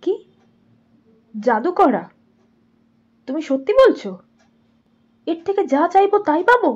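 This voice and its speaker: A woman's voice: very high voice, very animated, unhurried, a little mellow, heavily acted. A woman playing a worried, loving village mother of young children in a dramatized doll story. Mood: astonished. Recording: muffled audio with faint music.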